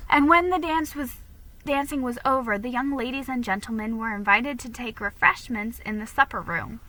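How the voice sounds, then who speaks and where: Bright, brisk-voiced woman reading a story expressively. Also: on the high side, rough recording. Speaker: amateur reader hosting dramatic readings.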